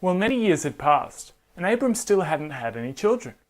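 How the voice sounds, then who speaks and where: A man hosting and narrating a scripted Bible story for children in an expressive manner, addressing listeners directly. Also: high voice, normal speed. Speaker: storyteller and host.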